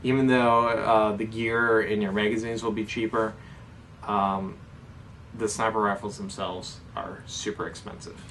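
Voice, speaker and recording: A man's voice: lowish voice, little pitch movement, a little slow, fairly bright tone. A man co-hosting a casual hobby chat, explaining things in an informal way. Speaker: co-host of a hobby show. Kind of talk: casual question-and-answer chat about airsoft. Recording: distant mic with faint background noise.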